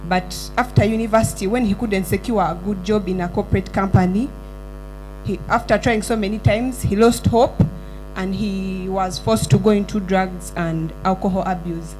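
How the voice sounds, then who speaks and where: A woman from Uganda, an engineer and founder, giving an earnest startup pitch with small slips and self-corrections. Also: a little mellow, rough recording. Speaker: entrepreneur pitching at a summit.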